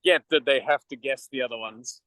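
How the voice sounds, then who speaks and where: A man's voice: mid-range voice, a little fast, pushed and bright, dry recording. A man from England with an English accent, an online teacher chatting casually in a group discussion. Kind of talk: casual chat.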